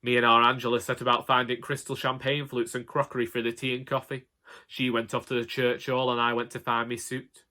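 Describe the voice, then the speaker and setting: A man's voice: medium pitch, flat delivery, fairly quick, bright, forceful tone. A man with a Northern English accent narrating a comic monologue as a put-upon, exasperated husband in colloquial language. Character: put-upon, indignant older husband, chatty storyteller. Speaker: audiobook narrator. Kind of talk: comic first-person monologue in character.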